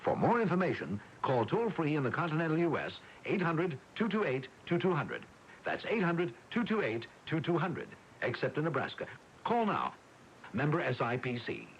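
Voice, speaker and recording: A man delivers a scripted radio commercial with lively swings of pitch. The old AM recording is dull, with a faint rumble under the voice. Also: normal speed. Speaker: commercial announcer.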